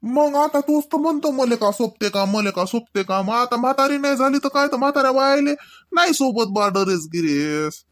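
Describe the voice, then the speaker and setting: A man's voice: very high-pitched, lively intonation, normal speed, fairly bright tone. A man playing a playful older village husband and father in a comedy cartoon, with a Varhadi Marathi accent and a folksy, rambling, mock-complaining way of talking. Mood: grumbling, teasing. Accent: Varhadi Marathi from Vidarbha.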